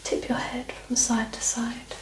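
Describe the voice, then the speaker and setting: A woman speaking softly and partly in whispers as a doctor in an ASMR medical exam roleplay, giving gentle, reassuring instructions. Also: on the high side, completely flat delivery, unhurried. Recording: roomy, distant mic, clear noise and clicks.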